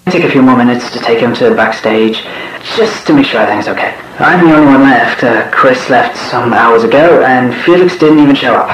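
A man's voice: mid-range voice, a little fast, close to an everyday voice. Man acting a distant, echoey, uneasy worker. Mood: subdued, uneasy. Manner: hesitant, subdued. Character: weary, uneasy male restaurant employee recording a log. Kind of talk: found-footage horror monologue. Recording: echoey, distant, dull noisy old tape.

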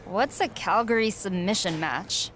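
A woman's voice: mid-range voice, animated, unhurried, fairly bright tone, a little hiss or hum. An actress playing a cocky young female wrestler in a video game cutscene, speaking in a dry, teasing, confident way.

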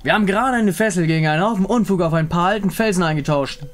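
Ein Mann spricht einen mürrischen, sarkastischen Monsterjäger in einem Fantasyspiel, brummig und widerwillig. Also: male voice, high voice, fast talker, pitched above the performer's own voice, natural voice. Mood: frustrated. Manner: grumbling, sardonic. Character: gruff sarcastic male monster hunter, reluctant.

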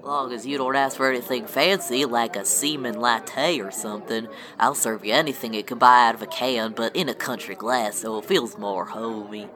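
A man's voice: mid-range voice, fairly even intonation, normal speed, few pauses, a crowd in the background, lightly acted. A man playing a folksy, ageless small-town bartender with hokey country phrasing in a parody radio play.

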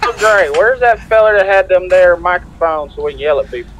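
Lively high man in noisy chat. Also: a little fast. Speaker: role-play gamer playing an officer. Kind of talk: casual in-character game banter. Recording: game voice chat with loud vehicle noise.

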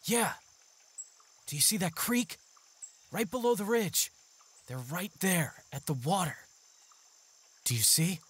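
A man's voice: sits high, big pitch swings, slow pace, long pauses, fairly bright tone, breathy edge, exaggerated voice. Man playing a curt young mercenary. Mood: focused, hushed. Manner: curt, earnest, tactical. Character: serious, gruff-mannered young male mercenary in training. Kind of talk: fantasy audio drama acting. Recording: faint music behind the voice.

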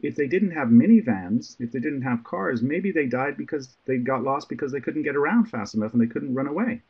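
A man's voice: mid-range voice, expressive, brisk, husky, muffled recording, pitched above the performer's own voice. A man voicing his curious young son, firing eager questions.

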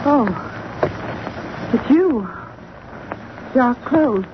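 A woman's voice: high voice, animated, extremely slow, heavily acted. An actress playing a frightened young female captive, pleading melodramatically in a 1940s radio drama. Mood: terrified. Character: terrified young woman held captive by a villain. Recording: very noisy, muffled old 1940s radio recording.

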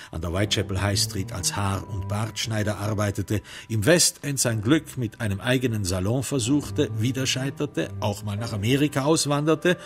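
A man playing the wry, sombre narrator of a crime radio drama, telling it in measured literary prose with dramatic emphasis. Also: lowish voice, close to an everyday voice.

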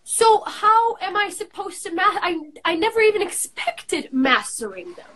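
A young woman talking in a lively, effusive way on a live video call from a small room. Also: extremely high pitch, a little hiss or hum. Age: young adult. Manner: lively, effusive, quick with exclamations. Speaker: guest. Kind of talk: casual live video chat.

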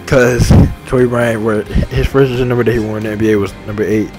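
A man in his twenties talking casually and repetitively over a game, enthusiastic and full of shout-outs. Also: medium pitch, fairly even intonation, quick pace. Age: 20s. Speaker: gaming channel host. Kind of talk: rambling casual monologue over game menu. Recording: faint game music behind. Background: faint game menu music.

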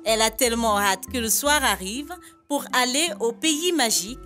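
A woman's voice: a little high, lively intonation, normal speed, a bit bright, soft background music. A woman narrating a children's educational cartoon, telling the story expressively and simply, like a teacher. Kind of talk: scripted storytelling narration for preschoolers.

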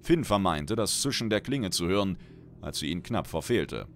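A man's voice: low-pitched, expressive, moderate pace, a little hiss or hum. A man reading a fantasy audiobook as a dramatic scripted narration. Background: background music.

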